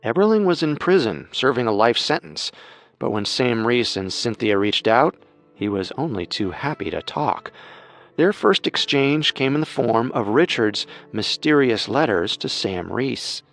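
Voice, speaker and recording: A man's voice: medium pitch, very animated, measured pace, fairly bright tone. A man reading scripted true-crime narration. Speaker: narrator, co-host. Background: music.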